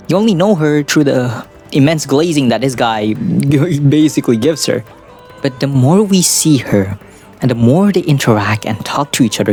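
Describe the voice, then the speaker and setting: A man giving casual, semi-scripted anime commentary in a rambling, self-deprecating, crudely joking way. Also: medium pitch. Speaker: anime video essayist. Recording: music behind the voice. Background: background music.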